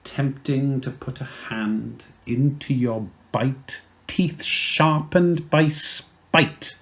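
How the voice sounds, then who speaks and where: A man with a Welsh accent reciting poetry in a deliberate, theatrical manner. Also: medium pitch. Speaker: poet.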